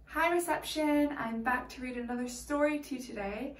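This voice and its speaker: A woman teacher reading a picture book aloud to young children, telling the story expressively and slipping into hushed, whispered asides. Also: sits high, moderate pace, faint music behind.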